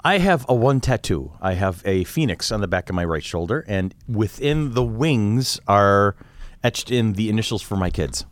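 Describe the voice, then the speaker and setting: A man in his forties hosting a casual group podcast, playful and quick to laugh as he goes round the table. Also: fairly low pitch, animated, no room sound. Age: 40s. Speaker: podcast host.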